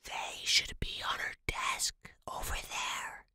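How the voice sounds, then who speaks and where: A man voicing an anxious, bossy young woman in a fiction podcast, hushed and urgent, often whispering. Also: slow pace, no echo. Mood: hushed, insistent. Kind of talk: audio fiction character dialogue.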